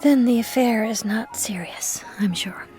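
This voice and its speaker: An actress playing a poised young socialite with a detective's shrewdness in a radio drama, genteel and self-assured. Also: female voice, a little high, a little slow, warm tone, soft background music, lightly acted. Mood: guarded, shrewd. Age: young adult.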